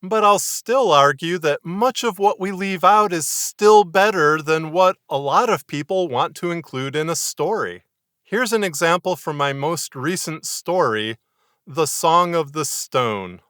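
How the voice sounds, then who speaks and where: Bright, close-sounding man giving writing advice. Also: on the high side, lively intonation, a little slow, dry recording. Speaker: writer and fiction podcaster giving writing advice. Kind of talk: scripted instructional narration to camera.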